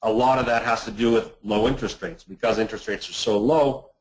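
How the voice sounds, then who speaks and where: A man presenting on an investment webinar, speaking haltingly and often repeating words. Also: fairly low pitch, fairly even intonation, low-quality recording. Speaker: investment manager hosting a client webinar. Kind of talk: presentation on a conference call.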